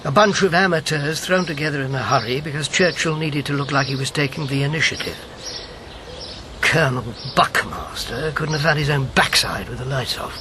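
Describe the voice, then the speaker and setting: A man's voice: fairly high pitch, fairly quick, background noise, lightly acted. A man acting in a radio drama as a dry, bitter old wartime codemaster, sardonic and clipped. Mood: bitter, insistent. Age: 70s.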